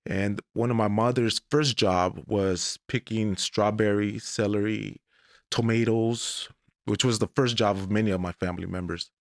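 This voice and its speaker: A man in his forties, originally from Mexico, telling his life story as a guest on an interview podcast in a deliberate, measured way. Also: on the low side, steady tone, dry recording, studio quality. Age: 40s. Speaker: guest, English professor.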